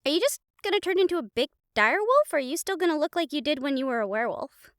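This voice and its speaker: A bubbly young royal in a fantasy audio drama, acted by a woman, with a very high, swooping voice. She is perky, girlish and a little scatterbrained. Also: very animated, fast, a bit bright, no room sound, clean studio sound. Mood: caring, curious. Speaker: character in fantasy audio roleplay. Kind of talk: dramatic audio roleplay acting.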